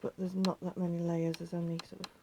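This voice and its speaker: A woman with a slightly croaky voice, patiently talking viewers through a craft step by step and often dropping to a near whisper. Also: on the low side, completely flat delivery, quick pace, background noise. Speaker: needle felting teacher. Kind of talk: hands-on craft tutorial.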